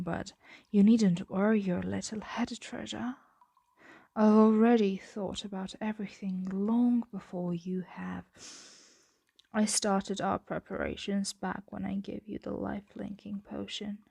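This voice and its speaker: A woman voicing a possessive, doting female dragon in an intimate ASMR roleplay, speaking softly and deliberately and often slipping into a whisper. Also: medium pitch, fairly even intonation, gravelly, breathy edge, dry recording. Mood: calmly insistent.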